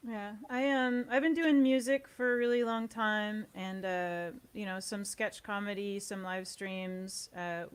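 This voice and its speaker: A woman speaks as an interview guest in a casual, informal way. Her voice sits at an average pitch for a woman, and her talk is conversational and unscripted. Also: steady tone, measured pace, few pauses, clean tone. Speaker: interview guest, audio series co-creator. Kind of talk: casual chat.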